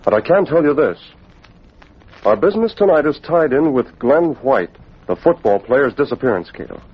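An actor playing a resolute masked crime-fighter, husky, decisive and commanding, in a 1940s radio drama. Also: male voice, mid-range voice, sing-song, moderate pace. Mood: determined. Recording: muffled old radio recording.

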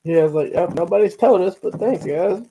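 A man's voice: sits high, fairly quick, warm tone, slightly rough, rough recording. A man co-hosting a podcast who jokes around and hypes things up in casual chat. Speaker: podcast co-host.

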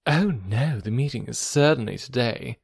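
A man's voice: mid-range voice, moderate pace, creaky, husky, no echo, clean studio sound. A man voicing a boastful, self-important young married lady in an audiobook of a classic novel, with an affected, showy, patronising delivery. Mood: smug, vivacious.

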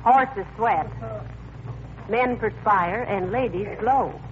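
A woman's voice: mid-range voice, slow, close to an everyday voice. Woman playing a sensible radio-comedy wife, muffled. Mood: correcting, brisk. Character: sensible, bossy, teasing wife. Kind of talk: 1940s radio comedy acting. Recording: muffled, noisy vintage radio recording.

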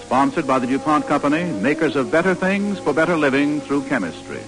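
A male announcer in 1940s radio style, fairly high and animated in pitch, speaking over loud music. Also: a little fast, low-quality recording.

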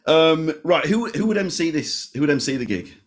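A man's voice: on the high side, very animated, normal speed. A man hosting a podcast interview, asking questions in a playful, jokey way. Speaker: podcast host.

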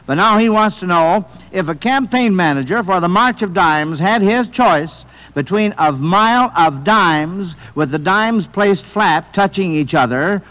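A man with a fairly high voice hosts an old-time radio quiz. He puts questions to contestants in the manner of classic radio. The old recording rings with the long echo of a hall. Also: expressive, a little slow, a bit bright. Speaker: quiz show host.

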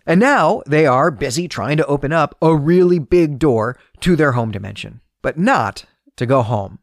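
Man hosting podcast, animated swooping intonation. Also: a little high, no room sound, studio quality. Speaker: podcast host and historian. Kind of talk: semi-scripted book discussion podcast.

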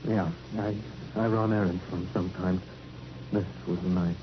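An actor playing a destitute Skid Row beggar, poetic and mournful, speaking in halting fragments in a radio crime drama. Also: male voice, deep voice, fairly even intonation, a little hiss or hum, lightly acted. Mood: wistful. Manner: halting, fragmentary, brooding phrases. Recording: old radio drama recording, dull, music behind.

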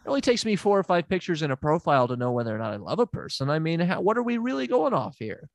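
A man's voice: fairly high pitch, very animated, fast talker, creaky, no room sound. A man playing a smug, unqualified therapist who rambles and stammers in an improvised comedy sketch. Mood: rambling. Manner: rambling, stammering, self-assured.

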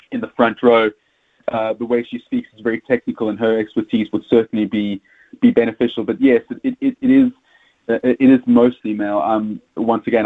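A man's voice: fairly low pitch, husky. South African man on a tinny phone line. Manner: halting, many repeated words and fillers. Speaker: rugby pundit guest. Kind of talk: casual interview chat.

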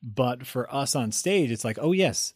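A man co-hosting a casual chat, talking in an animated way that trails off and restarts often. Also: mid-range voice, measured pace, breathy edge, no echo, studio quality. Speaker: streamer and co-host.

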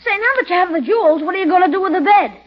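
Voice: extremely high pitch, expressive, rapid-fire. A performer in a 1940s radio comedy playing an eager, cheeky young boy. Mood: excited. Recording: dull old radio recording.

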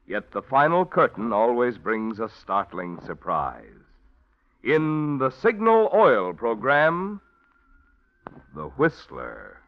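A man announcing a radio program and reading its sponsor's advert in a salesy 1940s announcer style. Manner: booming, salesy. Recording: dull old radio broadcast with music.

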